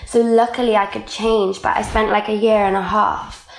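A young Welsh woman talks in a loose, chatty way, scattering "like" through her sentences. Her voice carries a gravelly edge, and the room around her sounds echoey. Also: medium pitch, flat delivery, normal speed, slight background noise. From Wales. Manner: chatty, lots of "like" fillers. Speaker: guest. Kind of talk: casual conversational interview.

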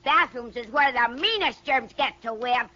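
A woman's voice: high-pitched, slow pace, sharp tone, full character voice. A woman voicing a mean, gleeful little germ, gloating and cackling in a comic radio commercial. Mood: gleeful, boastful. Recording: muffled old radio recording.

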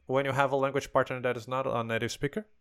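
A man with a smooth, clear voice at a middling pitch hosts a relaxed conversational interview. He speaks non-native English in an explanatory way, restarting words as he builds toward his questions. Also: fast. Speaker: podcast host.

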